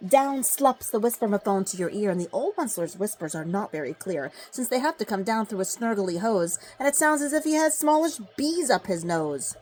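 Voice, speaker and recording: Animated woman reading a children's storybook. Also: medium pitch, fairly quick, few pauses, low-quality recording. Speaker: storybook reader. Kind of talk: expressive children's storybook read-aloud in rhyme.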